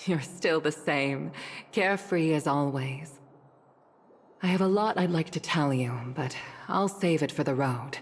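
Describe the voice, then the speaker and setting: A mature woman of rank in a video game, stern yet caring. Her voice is deep for a woman and breathy at the edges. She speaks with solemn, deliberate restraint, wrapped in long hall reverb. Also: heavily acted. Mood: gently concerned. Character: stern but caring mature female official, dignified and restrained. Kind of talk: scripted video game cutscene acting.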